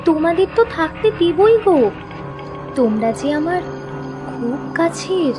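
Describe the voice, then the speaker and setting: A woman's voice: extremely high pitch, big pitch swings, unhurried, music in the background, heavily acted. A woman playing a slyly over-friendly young village ghost woman in an audio horror story, with drawling, teasing rustic talk. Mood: sly, ominous.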